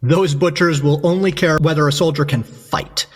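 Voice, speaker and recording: Brief: male actor as a condescending alienist, formal diction, higher voice, reverberant. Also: steady tone, moderate pace, lightly acted. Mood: clinical. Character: pompous male asylum doctor, cold and clinical. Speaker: asylum alienist.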